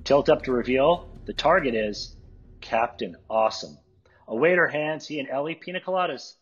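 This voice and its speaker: A man narrating stage directions from a script at a table read, with a very husky voice. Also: medium pitch, lively intonation, moderate pace. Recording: dull lo-fi audio, music behind.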